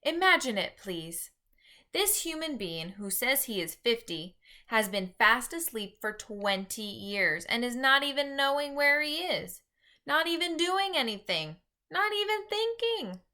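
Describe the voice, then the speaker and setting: A woman voicing an earnest, easily exasperated gentle male giant in a children's storybook, speaking emphatically in folksy broken grammar with invented words. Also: high voice, lively intonation, a little slow, pitched above the performer's own voice, heavily acted. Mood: earnest lecturing. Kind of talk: read-aloud children's storybook.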